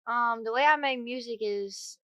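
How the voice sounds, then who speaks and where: A young woman singer with a deep voice, talking casually in an interview, chatty and relaxed with frequent ums and easy laughter. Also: moderate pace, no room sound.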